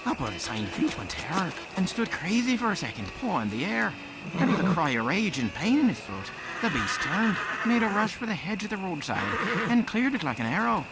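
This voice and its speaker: A man's voice: sits high, sing-song, brisk, over loud music, rough recording. A man playing a genial parish storyteller, telling a fireside tale theatrically. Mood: dramatic. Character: genial Irish parish storyteller, Mister Clancy.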